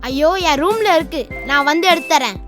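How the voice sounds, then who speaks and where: A woman voicing a studious, helpful young schoolboy in an animated series, with an Indian Tamil accent and an earnest, mildly scolding manner. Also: high-pitched, fairly quick, over music. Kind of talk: animated children's series acting.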